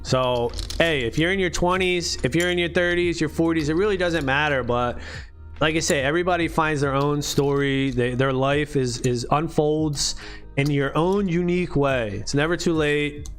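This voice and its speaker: A man's voice: a little high, normal speed, fairly bright tone, soft background music. A man in his late thirties, chatting casually on a live stream in loose, profane slang full of "dude" and "bro". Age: late 30s. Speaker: livestream host.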